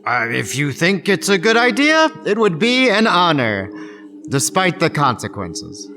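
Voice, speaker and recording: An actor playing an excitable, blustering town alderman in a theatrical farce, in an exaggerated manner. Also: fairly high pitch, measured pace, soft background music, heavily acted. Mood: obliging, anxious.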